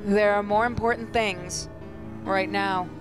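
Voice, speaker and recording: A woman's voice: mid-range voice, fairly even intonation, unhurried, over music. A woman gaming host reads a visual novel aloud as dramatic, emotive first-person narration.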